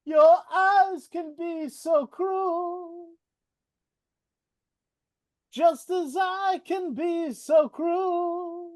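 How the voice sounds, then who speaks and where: A woman singing as the male Goblin King, a proud ruler, slowly and intensely in a live reading over a video call. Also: very high voice, steady tone, darkish tone, full character voice. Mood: yearning. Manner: slow, intense sung lines. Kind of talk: singing in a live read-through. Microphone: computer mic on a video call.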